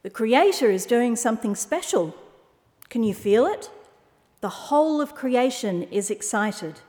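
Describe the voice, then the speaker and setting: A woman's voice: on the high side, animated, measured pace, mellow, in a large hall. A woman voicing a cunning predatory fox in a children's story, enticing and sly. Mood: sly, luring.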